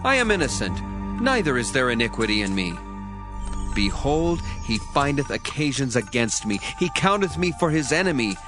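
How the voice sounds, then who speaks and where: A man's voice: medium pitch, big pitch swings, moderate pace, close to an everyday voice. A man voicing Elihu, an indignant young man eager to speak, in an earnest dramatized scripture reading with rising emphasis. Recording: dry voice, faint music underneath.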